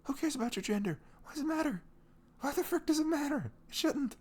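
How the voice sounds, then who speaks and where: A young man playing a sweet, caring boyfriend in an intimate ASMR roleplay, rambling casually in slangy, animated speech. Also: very high voice, fast talker. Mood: earnest, supportive. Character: sweet, caring, chatty boyfriend on a morning after. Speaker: audio roleplay voice actor.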